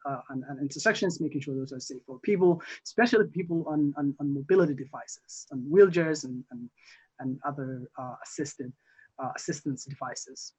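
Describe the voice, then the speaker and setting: A young man from Somalia, a newly elected council member, answering interview questions haltingly with many fillers and restarts. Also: mid-range voice, a little mellow, husky. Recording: muffled lo-fi audio.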